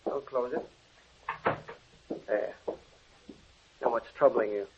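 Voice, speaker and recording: An actor playing a calm, genial scientist in a 1940s radio drama, speaking in a probing, paternal way. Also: male voice, mid-range voice, slow, slightly rough. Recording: muffled old broadcast with faint hiss.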